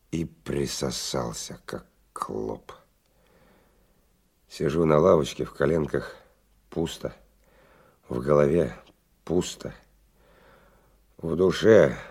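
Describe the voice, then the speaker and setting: An actor in a radio play voicing a hard-drinking, world-weary male stalker, brooding and coarse in his colloquial speech, with a husky low voice. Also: a little mellow, heavily acted. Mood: weary, relieved. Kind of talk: radio play monologue and dialogue.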